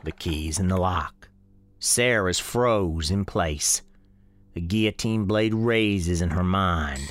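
Folksy southern storekeeper narrating spooky radio play, unhurried and ominous, music behind. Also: male voice, sits low, no echo. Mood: tense, grave. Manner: measured, ominous storytelling. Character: folksy, grave storekeeper narrating an eerie ghost tale. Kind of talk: scripted dramatic narration, radio drama.

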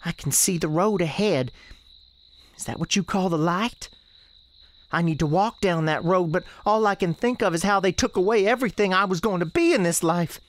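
A woman's voice: on the low side, moderate pace, no room sound, natural voice. A woman playing the restless, defiant ghost of a sixteen-year-old novice nun in a radio drama, earnest and plaintive, with folksy phrasing. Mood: wistful. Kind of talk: dramatic radio theatre dialogue.

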